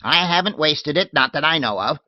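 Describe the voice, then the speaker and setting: A man doing a shrill tart old woman. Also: expressive, brisk, no room sound, highs cut off, close to an everyday voice. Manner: snapping, sharp and scolding.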